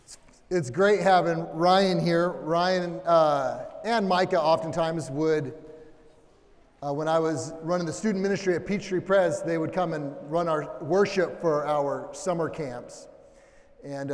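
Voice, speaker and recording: A middle-aged American man giving a conversational sermon with personal anecdotes and an informal, self-deprecating manner. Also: a little mellow. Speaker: preacher. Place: large indoor church room, amplified. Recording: boomy echoing hall, long reverb.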